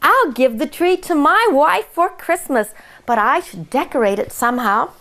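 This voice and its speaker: A woman voicing a kindly old Santa Claus who speaks gently and slowly. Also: sits high, lively intonation, heavily acted. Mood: musing. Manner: gentle, slow.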